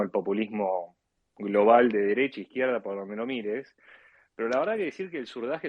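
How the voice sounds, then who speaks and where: A man's voice: medium pitch, slightly rough, no room sound. Argentine man, dull lo-fi audio. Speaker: caller in a live audio discussion. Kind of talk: casual political discussion. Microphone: live online audio chat, close and dry.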